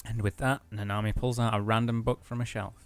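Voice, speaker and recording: A man's voice: lowish voice, flat delivery, fairly quick, clear, resonant tone, soft background music. A man co-hosting a let's play, casually commenting and reading game text aloud.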